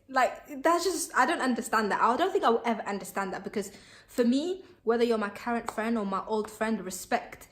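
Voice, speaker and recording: A woman's voice: fairly high pitch, fairly bright tone. A young woman, a Somali speaker, chatting to camera as a vlogger in a bubbly, chatty way with many fillers. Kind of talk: casual chat to camera.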